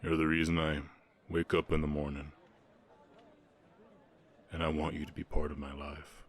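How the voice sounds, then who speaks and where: Orc cowhand played by a man: extremely low-pitched Southern drawl, gentle, reserved, halting, for intimate ASMR romance. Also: little pitch movement. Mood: tender, relieved. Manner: halting, sighing, earnest. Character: gentle, reserved orc ranch hand in love. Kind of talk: intimate ASMR romance roleplay, Western setting.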